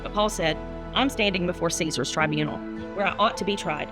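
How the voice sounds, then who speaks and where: A woman's voice: on the low side, brisk, music in the background. A woman from the United States, a minister, who reads scripture and chats. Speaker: guest minister and Bible reader. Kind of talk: Bible reading and casual chat.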